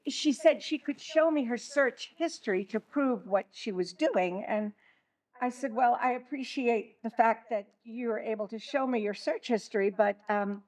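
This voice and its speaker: Woman acting a hesitant, high-voiced teacher. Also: measured pace, darkish tone, close to an everyday voice, rough recording. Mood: aggrieved, careful. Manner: hesitant, stammering, diplomatic. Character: earnest, flustered high school teacher, woman. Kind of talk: stage play acting.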